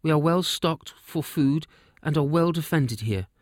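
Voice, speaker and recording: A man voicing a queen in a fantasy story, speaking formally and raising his voice higher. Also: a little slow, no echo, studio quality, close to an everyday voice. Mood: commanding. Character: worried queen of a fantasy kingdom.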